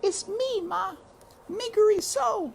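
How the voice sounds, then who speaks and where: A man voicing a frightened six-year-old girl who pleads in a high, tearful voice while reading a storybook aloud. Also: slow pace, gravelly, slight background noise, full character voice. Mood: pleading.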